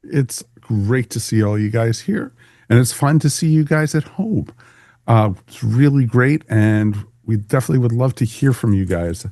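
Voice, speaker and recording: A man's voice: mid-range voice, animated, moderate pace, warm tone, dry recording, clean studio sound. A man hosting a podcast in a casual, chatty way, with a jokey, folksy manner. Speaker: podcast host.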